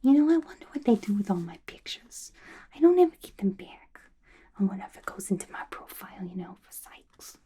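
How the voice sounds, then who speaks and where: A woman's voice: medium pitch, big pitch swings, brisk, warm tone, a little hiss or hum, lightly acted. A woman playing a playful, unhinged, gossipy female villain inmate in a chatty, conspiratorial whispered ASMR roleplay. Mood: chatty, musing.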